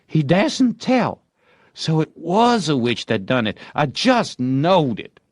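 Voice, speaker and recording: A man voicing an excitable, bossy young boy in a storybook, talking theatrically and in folksy dialect as he plays a bold outlaw. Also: on the high side, takes it slowly, no room sound, studio quality, pitched above the performer's own voice, exaggerated voice. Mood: convinced. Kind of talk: acted storybook dialogue.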